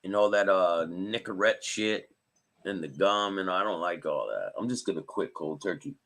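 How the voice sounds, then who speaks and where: A man with a raspy voice hosting a live stream. He reads news aloud and chats with viewers by name. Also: sits low, lively intonation, moderate pace, a bit bright. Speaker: host.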